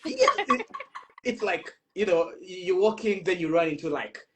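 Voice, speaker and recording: A man's voice: high-pitched, lively intonation, a little slow, heavy background noise. A young man from Ghana hosting a casual live-stream chat, laughing often and tripping over his words as he talks.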